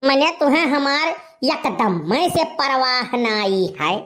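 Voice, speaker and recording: A woman's voice: sits high, animated, slow pace, a bit bright, a little hiss or hum, heavily acted. A woman playing a desperate, lovesick young village man in a cartoon comedy, pleading melodramatically with an Awadhi accent. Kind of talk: animated comedy dialogue.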